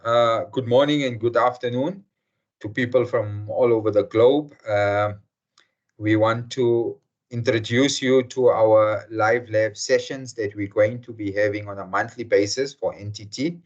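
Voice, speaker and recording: A man's voice: lowish voice, steady tone. Hesitant man hosting a video call. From South Africa. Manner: slow and hesitant, many fillers. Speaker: webinar host. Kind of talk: formal welcome to an online session. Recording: muffled video call audio.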